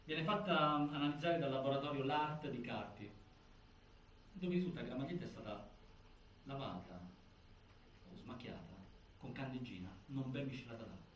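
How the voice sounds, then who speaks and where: A man performing as a solemn theatre narrator, reciting a case file in formal, deliberate, declamatory phrases. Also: mid-range voice, a bit bright, natural voice. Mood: grave, insistent. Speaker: narrator in a stage inquiry play. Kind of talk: scripted theatrical documentary narration. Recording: echoey, distant, faint noise.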